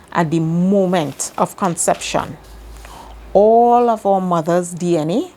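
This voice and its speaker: Trinidadian woman, self-help teacher, earnest, repeats key words, very slow delivery, slightly low voice. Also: darkish tone, slight background noise. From Trinidad and Tobago. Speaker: self-help video host. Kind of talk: slow motivational teaching monologue.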